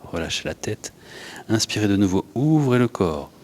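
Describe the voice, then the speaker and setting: A man leads a guided yoga and breathing class, giving unhurried instructions in short phrases with pauses. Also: lowish voice, very animated, a little mellow, slight background noise. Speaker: yoga teacher.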